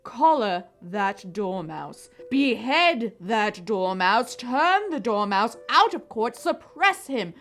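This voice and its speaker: A tyrannical Queen bellows furious orders in an audio storybook drama. She stretches her words slowly, swinging up and down in a highish voice, with faint music behind. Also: very animated, heavily acted. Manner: shouting, imperious, drawn out.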